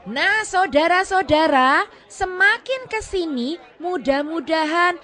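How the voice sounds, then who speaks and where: A woman's voice: very high voice, expressive, slow, bright, forceful tone. A woman co-hosting a talk show with light banter, addressing the audience.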